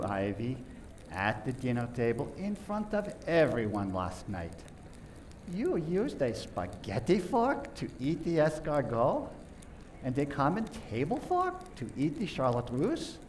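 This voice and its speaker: Male actor as radio-play accuser: deliberate, dramatic, widely varied pitch, slight background noise. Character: theatrical male detective accusing murder suspects. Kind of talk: community theater radio play acting.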